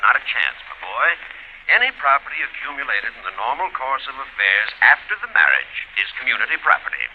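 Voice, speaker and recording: An actor playing a wry, teasing lawyer in an old radio drama, with a dry, mock-formal joking manner. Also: male voice, mid-range voice, very animated, a little fast, slightly rough. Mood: teasing, jovial. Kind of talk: 1940s radio drama acting. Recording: muffled, slightly noisy old radio broadcast.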